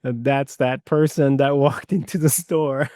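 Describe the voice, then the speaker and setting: A man's voice: mid-range voice, steady tone, unhurried, dark tone, no echo, studio quality. A man in his forties from Iran, a guest in a casual interview, talking hesitantly with many fillers and restarts. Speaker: guest, Foley mixer.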